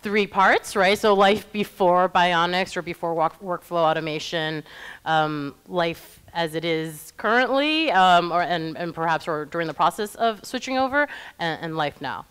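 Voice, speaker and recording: A woman's voice: on the low side, lively intonation, normal speed, fairly bright tone, clean tone. A woman moderating a live panel discussion in an informal, encouraging way.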